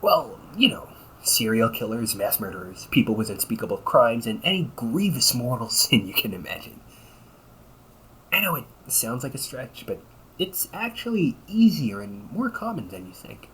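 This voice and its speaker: A man's voice: mid-range voice, big pitch swings, moderate pace, fairly bright tone, slight background noise, poor audio. A man playing a cocky, weary, centuries-old but young-sounding vampire brother in a conversational audio roleplay, grumbling with dry sarcasm. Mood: casual, explaining. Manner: sarcastic, grumbling, often chuckling. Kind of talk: audio roleplay, conversational one-sided dialogue.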